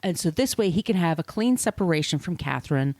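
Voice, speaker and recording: Female podcast storyteller, slightly low register, conversational and explanatory with asides, close dry sound. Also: lively intonation, fairly quick, a little mellow, dry recording. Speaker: podcast host. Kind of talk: conversational storytelling of literary history.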